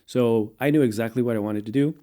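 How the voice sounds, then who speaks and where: A man who grew up in Portugal, a guest in an interview, talking in a measured way with many fillers. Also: mid-range voice, warm tone. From United States, raised in Portugal. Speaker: guest, venture capitalist. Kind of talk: casual interview answers.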